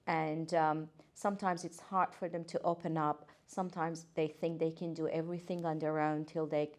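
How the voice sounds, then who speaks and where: A woman's voice: sits low, level tone, moderate pace, darkish tone. A woman, a guest occupational therapist talking earnestly, with hesitant fillers and restarts. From Iran. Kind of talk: casual podcast interview.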